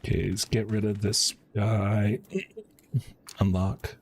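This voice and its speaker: A man's voice: lowish voice, level tone, slow pace, a little hiss or hum. A man casually commentating on a game live stream and reading dialogue aloud in an offhand, slangy way.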